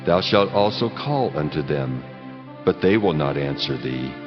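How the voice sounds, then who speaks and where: An actor playing God as a stern, majestic deity, solemnly declaiming commands in a dramatized scripture reading. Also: male voice, very deep voice, animated, unhurried. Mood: stern, commanding. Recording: cavernous long reverb, muffled, music underneath.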